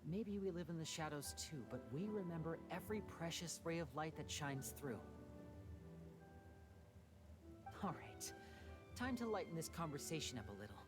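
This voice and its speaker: A man playing a gentle, secretive young stage magician in a video game, speaking in a measured, soft, theatrical way. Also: on the high side, expressive, natural voice. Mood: resolute, tender. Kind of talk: video game voice acting, dramatic monologue. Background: music.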